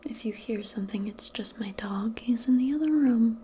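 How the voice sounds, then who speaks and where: A woman role-playing in soft-spoken ASMR, speaking gently and soothingly in a breathy, mostly whispered voice. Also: a little high, a little hiss or hum. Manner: gentle, soothing, unhurried, conversational. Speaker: ASMR performer role-playing a modern witch.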